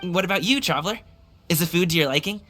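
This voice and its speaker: A man's voice: high-pitched, fast, bright, forceful tone. A man voicing a friendly, earnest young male dancer in a video game, speaking in an easygoing, casual way. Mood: friendly, hospitable. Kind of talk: video game character acting. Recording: faint game music behind the voice. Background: faint game music.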